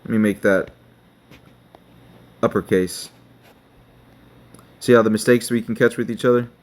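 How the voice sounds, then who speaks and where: Slow-talking man teaching on screen. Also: fairly low pitch, steady tone. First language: not Hebrew. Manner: slow, checking in with "okay?". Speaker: online teacher. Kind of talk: screen-share tutorial.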